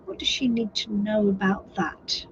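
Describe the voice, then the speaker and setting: A woman with a croaky, husky voice giving a live tarot reading, unhurried and reassuring as she thinks aloud. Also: mid-range voice, highs cut off, a little hiss or hum. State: hoarse. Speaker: tarot reader.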